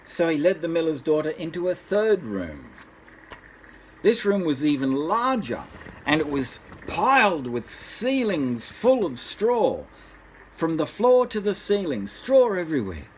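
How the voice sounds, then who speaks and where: An older man, a grandfather, narrating a children's bedtime story in an unhurried, expressive way. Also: fairly high pitch, slight background noise.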